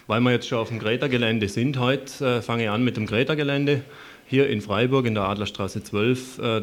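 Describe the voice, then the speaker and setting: A man presenting live event listings on community radio, informally and with chatty asides. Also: fairly low pitch. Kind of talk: live community radio announcements, part improvised.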